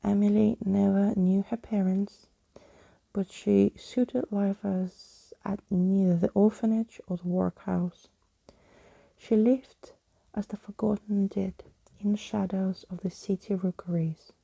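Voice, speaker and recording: A woman reading a children's story aloud in a soft ASMR style, often dropping into whispers. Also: medium pitch, fairly even intonation, slow pace, gravelly, slightly rough, dry recording, rough recording.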